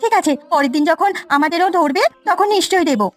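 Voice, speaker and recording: A woman voicing a kind, trusting young village wife in a cartoon, speaking quickly and earnestly. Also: extremely high pitch, faint music behind. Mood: obliging. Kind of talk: Bengali village cartoon voice acting.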